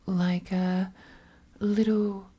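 A woman voicing an affectionate, teasing witch girlfriend in an intimate ASMR roleplay, soft and breathy, often slipping into whispers, with tender, playful cooing. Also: medium pitch, little pitch movement, extremely slow. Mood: soothing, gentle. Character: affectionate, teasing witch girlfriend soothing her werewolf partner.

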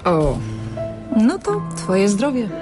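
Chatty young champion over music. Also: female voice, medium pitch, huge pitch swings, slow. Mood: coy, hesitant. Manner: hesitant, repeating words. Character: chatty, infatuated young female chess champion. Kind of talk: Polish radio drama acting.

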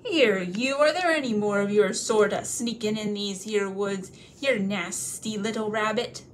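A woman voicing a suspicious, hungry male troll with a slow, threatening drawl. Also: mid-range voice, slight background noise, lightly acted. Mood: menacing.

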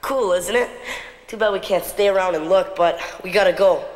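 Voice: medium pitch, normal speed, heavy background noise, close to an everyday voice. A performer voicing a breezy, cool, playful buddy who lives in a computer, in a children's educational video. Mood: confident, coaxing. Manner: jaunty, slangy, playful.

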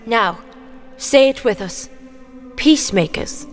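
An actress playing a gentle, pious medieval mother in a historical radio drama, tender but firm. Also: on the high side, lively intonation, slow, a little hiss or hum. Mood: tender, devout.

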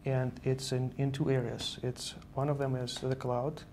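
A man's voice: medium pitch, monotone, moderate pace, darkish tone, a little hiss or hum. A man in his thirties from Estonia, speaking as an earnest guest in a studio interview, often repeating words as he explains. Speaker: guest, software engineer. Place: virtual news-style studio set.

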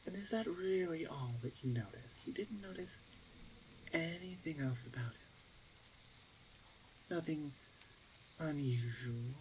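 A woman playing a teasing, smug older orc shaman sister in an ASMR roleplay, with a playful, drawn-out, self-assured delivery. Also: sits low, lively intonation, a little mellow, slight background noise, rough recording. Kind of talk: ASMR roleplay audio drama.

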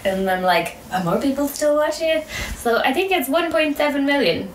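A woman's voice: sits high, animated, normal speed. An Irish woman in her thirties telling stories casually as a podcast guest, chatty and often acting out imagined quotes. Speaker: podcast guest, community founder. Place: small indoor room with beanbags. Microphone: desk microphone. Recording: roomy, slightly distant, faint noise.

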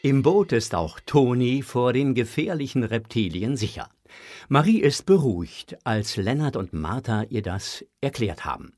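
A man narrating a children's adventure story from a script in a suspenseful, measured way. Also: medium pitch, big pitch swings, a little mellow.

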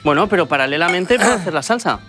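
A man's voice: high-pitched, lively intonation, fast talker, music in the background. A man in his forties from Spain, co-hosting a cooking show and playfully teasing his partner. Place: TV studio kitchen set.

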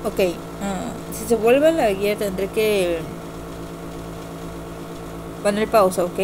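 A woman's voice: mid-range voice, takes it slowly. A young woman giving a casual opinion vlog in a rambling, hesitant way, often repeating herself. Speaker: amateur video reviewer. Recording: noisy amateur home computer recording.

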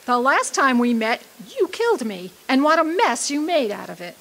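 A woman's voice: high voice, sing-song, moderate pace, a bit bright, heavily acted. An actress playing a brash, wisecracking woman outlaw in a radio play. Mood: blunt, needling. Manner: blunt, wisecracking. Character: brash, sassy, hard-drinking dead woman outlaw. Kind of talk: stage radio drama acting.

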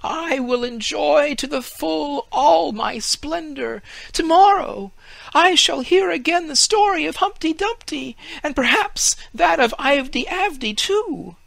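A vain, simple young fir tree speaks in a storybook reading. The voice sits far above a normal speaking pitch, bright and thin with wondering eagerness. It is recorded close and dry. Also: male voice, expressive, measured pace, gravelly, no echo, exaggerated voice. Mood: hopeful. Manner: high, wondering, breathless eagerness.

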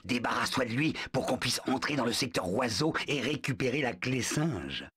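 Self-important military animal, male, lively pitch, breathy edge. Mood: commanding. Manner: brisk, commanding, self-important. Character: bossy military-minded male zoo animal. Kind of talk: video game dubbing.

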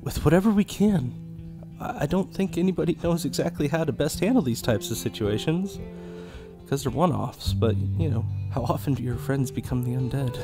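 Casting: male actor as awkward loyal young buddy, hesitant phrasing, expressive pitch, horror audio drama, faint music. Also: moderate pace. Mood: concerned. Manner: hesitant, casual.

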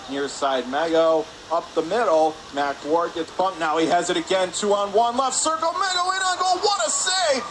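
A man with a fairly high voice calls hockey play-by-play in a radio style. His phrases are clipped and urgent as he follows the puck. Clear ice rink noise runs behind him. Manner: clipped, urgent phrases while calling action. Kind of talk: live radio sports play-by-play commentary. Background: ice rink ambience.

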